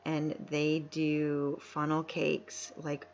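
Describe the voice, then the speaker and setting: A woman's voice: sits low, completely flat delivery, extremely slow. Chatty woman streamer, lo-fi mic. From upstate New York. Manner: chatty, laughs often, reads chat aloud. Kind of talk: casual live-stream chat while playing. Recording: dull lo-fi mic with faint music.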